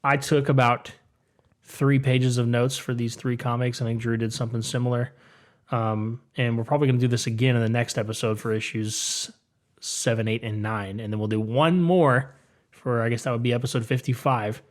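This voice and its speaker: A man's voice: mid-range voice, flat delivery, moderate pace. A young adult man co-hosting a comic book podcast, chatty and upbeat, laughing often in casual talk. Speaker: podcast co-host. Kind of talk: casual chat.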